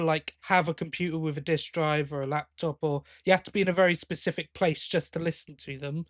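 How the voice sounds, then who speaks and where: A man's voice: a little high, dry recording, clean studio sound. A man co-hosting a podcast, talking in a casual, chatty and enthusiastic way. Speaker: podcast co-host.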